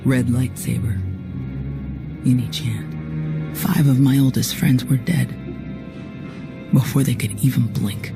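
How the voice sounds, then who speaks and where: A woman's voice: very deep voice, steady tone, takes it slowly, vocal fry, pauses often, exaggerated voice. A woman playing a tough, cocky female security chief in a game cutscene, blunt and wry in manner. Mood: somber, bitter. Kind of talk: video game cutscene acting. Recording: music under the voice.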